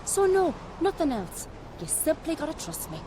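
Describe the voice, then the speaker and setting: An actress playing a resolute, commanding woman in a video game, speaking in a firm, measured, enigmatic way. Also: high-pitched, sing-song, background noise. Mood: firm, secretive. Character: resolute, commanding adult woman with a secret plan. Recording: background music under the voice.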